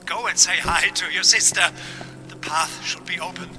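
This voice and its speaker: A man's voice: high-pitched, normal speed, bright, forceful tone, faint music behind. An actor playing an eccentric, knowing man of science in a video game, theatrical and wry as he explains and guides. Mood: helpful, encouraging.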